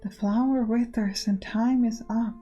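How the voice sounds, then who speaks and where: A mysterious visual novel figure, read by a woman, pleading and solemn. The voice is a bit high, with music faintly behind. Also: steady tone, unhurried, dark tone, lo-fi sound.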